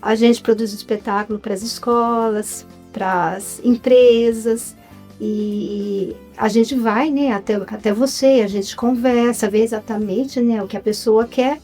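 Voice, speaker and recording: A woman with a Brazilian Portuguese accent, a guest chatting in a relaxed, animated way in an interview. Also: on the high side, takes it slowly, faint music behind. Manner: animated, chatty, frequent tag questions. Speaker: guest, writer and actress.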